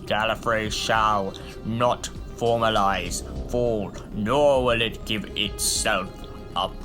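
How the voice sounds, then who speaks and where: An actor playing a stately, defiant Lord President in a sci-fi audio drama, speaking in a grave, formal, measured way. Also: male voice, lowish voice, steady tone, over music. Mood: haughty defiance.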